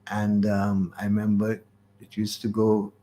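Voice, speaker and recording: A man's voice: fairly low pitch, completely flat delivery, measured pace, warm tone. A man, reminiscing as an interview guest in a hesitant, rambling way that circles back on itself. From Caribbean. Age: 80s. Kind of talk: unscripted reminiscing in a radio interview.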